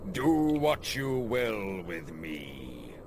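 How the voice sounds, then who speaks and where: Theatrical old prisoner, wildly swooping voice. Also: male voice, on the low side, big pitch swings, background noise, exaggerated voice. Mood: calm, resigned. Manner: theatrical, formal, drawn-out delivery. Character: pleading elderly male prisoner, devout and self-sacrificing. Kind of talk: dramatic video game cutscene acting.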